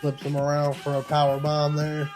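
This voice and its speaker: Man, casual play-by-play, higher-pitched, mellow tone, informal, music behind. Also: completely flat delivery, normal speed. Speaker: wrestling match commentator.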